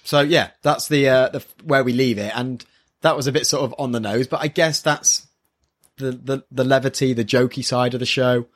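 A man's voice: medium pitch, steady tone, normal speed. A man giving his casual impressions as a co-host, hesitant and searching for words, recorded on a built-in microphone. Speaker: podcast co-host, first-time manga reader. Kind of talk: casual review chat.

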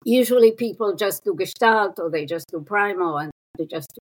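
A woman in her sixties from Israel, a psychotherapist speaking as an interview guest in an unhurried, thoughtful way with pauses and fillers. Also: medium pitch, poor audio. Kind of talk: reflective interview talk.

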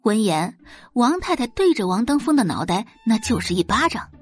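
A woman's voice: fairly high pitch, big pitch swings, moderate pace, music in the background. A woman reading scripted dramatic narration as the narrator of an audio drama.

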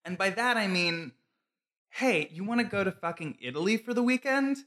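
A man's voice: high-pitched, expressive, normal speed, fairly bright tone. A man co-hosting a casual chat, telling an anecdote animatedly, laughing often and using "like" a lot. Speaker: podcast co-host.

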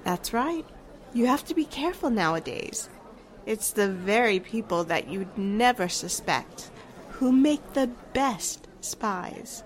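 A woman's voice: medium pitch, animated, takes it slowly. A woman acting an affected, insinuating small-town society gossip in a 1940s-style radio drama. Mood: gossipy.

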